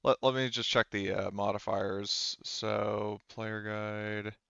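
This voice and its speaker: A man talking casually as game master, reasoning through the rules out loud. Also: lowish voice, flat delivery, slow pace, gravelly, clear, resonant tone, no echo, highs cut off.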